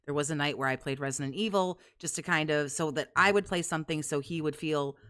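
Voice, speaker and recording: A woman with a low voice, co-hosting and commenting casually in a blunt, sarcastic, profane way. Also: quick pace, a bit bright, clear, resonant tone. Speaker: co-host reacting to stream.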